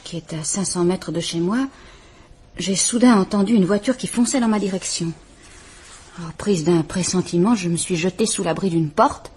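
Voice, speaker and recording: A frightened, formal wife. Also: female voice, fairly low pitch, darkish tone, breathy edge. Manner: formal, measured, restrained speech. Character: frightened estranged wife, stiff and proper. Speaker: visitor at the police station. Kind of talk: 1950s French radio drama acting.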